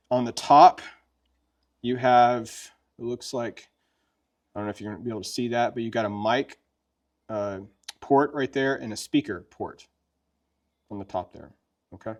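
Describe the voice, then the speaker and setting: A man presenting a gear review in a casual, folksy, conversational way, as if showing a friend how it works. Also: medium pitch. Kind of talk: casual product demonstration chat.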